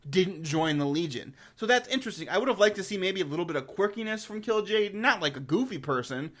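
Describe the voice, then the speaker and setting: A man's voice: high voice, animated, fast talker, a bit bright. A man giving casual, enthusiastic video commentary as a host, rambling informally and often repeating words as he goes. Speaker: host of a game lore review channel.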